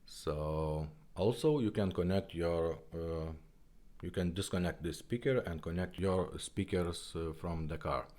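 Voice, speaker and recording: A man who is not a native English speaker, giving a casual product demonstration in a halting, simple step-by-step way. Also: deep voice, darkish tone, slight background noise. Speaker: presenter of a vintage car radio demonstration.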